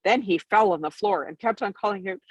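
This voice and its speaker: A woman's voice: mid-range voice, brisk, husky, dry recording, muffled recording. A woman reading a storybook aloud as bedtime narration, expressively, with an occasional stumble over the words. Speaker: storybook reader.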